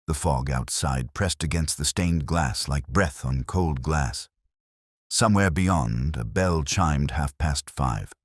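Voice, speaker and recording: A man narrating a scripted mystery story in a measured, atmospheric way. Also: extremely low pitch, breathy edge, no echo, clean studio sound.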